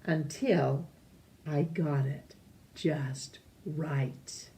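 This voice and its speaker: A woman reading a children's picture book aloud, deliberately, with pauses between phrases. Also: low voice, steady tone, dark tone. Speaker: storytime reader.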